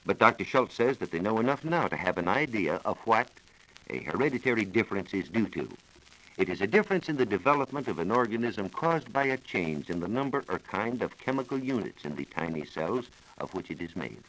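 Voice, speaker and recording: American guy explaining science on old 1940s radio, lowish voice, really lively intonation, formal and scripted. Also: fairly quick, poor audio. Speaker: science reporter explaining research.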